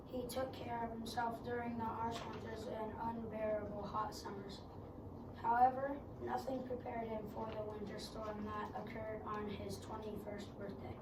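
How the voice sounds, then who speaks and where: Echoey female student narrating a story. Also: fairly high pitch. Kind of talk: readers theater read-aloud narration. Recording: echoey noisy room, distant mic.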